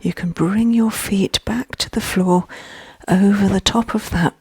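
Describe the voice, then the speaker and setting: A woman speaks very breathily and gently, guiding a relaxation in soft-spoken ASMR style. Her pace is very slow, with fry creeping into the hushed, often whispered delivery. She sounds soothing throughout. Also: medium pitch, steady tone, no room sound. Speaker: relaxation guide.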